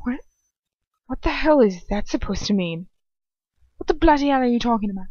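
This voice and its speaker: A woman's voice: on the high side, lively intonation, a little fast, warm tone, no echo, lo-fi sound, pitched above the performer's own voice. A woman voicing a hot-headed, desperate teenage boy, incredulous and sputtering, in an amateur story reading.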